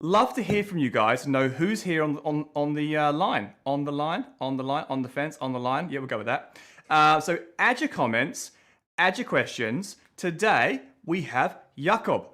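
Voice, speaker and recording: A man hosting a relaxed talk show, his voice sitting a little high for a man. He chats loosely, rambling and circling back to restart his phrases. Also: normal speed. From from abroad, living in New Zealand. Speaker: podcast host. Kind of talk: casual chat.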